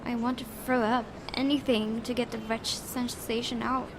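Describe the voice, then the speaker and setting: A woman's voice: a little high, little pitch movement, normal speed. A woman hosting a gaming video, reading a visual novel aloud and commenting casually. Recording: clearly noisy home recording.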